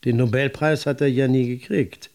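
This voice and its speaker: An actor playing a literary chronicler, narrating a radio drama with measured, articulate and deliberate phrasing. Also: male voice, medium pitch, fairly even intonation, darkish tone, close to an everyday voice. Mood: wry, measured.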